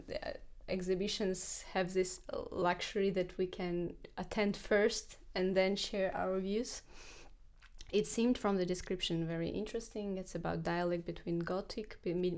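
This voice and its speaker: A woman co-hosts a casual podcast with a fairly low voice. She speaks haltingly, stopping often with uhs and restarting her sentences. Her talk is loose and unscripted. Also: steady tone, darkish tone. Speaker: podcast co-host, violinist. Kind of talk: casual conversational chat.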